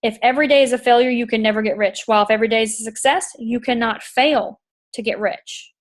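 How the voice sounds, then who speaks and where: A woman's voice: on the high side, fairly even intonation, quick pace. A woman hosting a chat show, reading from a book and explaining it in an enthusiastic, emphatic way that repeats points, with a tickle in her throat. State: throat tickle, occasional coughs. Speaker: podcast host.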